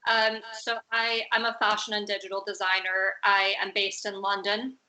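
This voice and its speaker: A woman's voice: medium pitch, little pitch movement, normal speed, pushed and bright, echoey room, low-quality recording. A young woman in her twenties, a fashion designer from the United States, speaking thoughtfully with many fillers and restarts. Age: 20s. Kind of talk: recorded video discussion.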